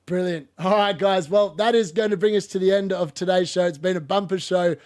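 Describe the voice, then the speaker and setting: A chatty man hosts a laid-back football talk show with a cough he hasn't shaken yet. He rambles and laughs through his stories, and his voice sits a little higher than most men's. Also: fairly even intonation, a little fast, darkish tone. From Australia. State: lingering cough. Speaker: podcast host. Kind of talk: casual football chat.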